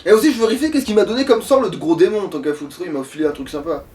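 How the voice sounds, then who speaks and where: A man's voice: high voice, sing-song, fast talker, a little mellow, distant mic. A man chatting casually as he plays a game, thinking aloud in slang with some swearing. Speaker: gamer playing. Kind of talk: casual Let's Play chat.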